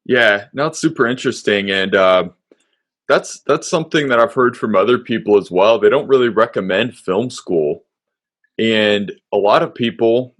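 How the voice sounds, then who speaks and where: Podcast host guy, lowish voice, laid back and friendly, lots of ums. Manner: friendly, easygoing, with fillers. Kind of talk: casual interview chat.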